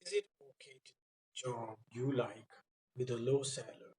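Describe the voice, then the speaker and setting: A man's voice: medium pitch, moderate pace, a little mellow. A man, acting as an English examiner and putting short practice interview questions.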